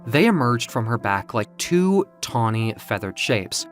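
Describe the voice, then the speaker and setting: A man's voice: mid-range voice, sing-song, a little slow, soft background music. A man narrating a scripted first-person story in a dramatic, emotive way.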